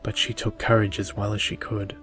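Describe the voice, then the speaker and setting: A man reading a bedtime story in an ASMR style, soft, measured and soothing. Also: on the low side, flat delivery, faint music behind.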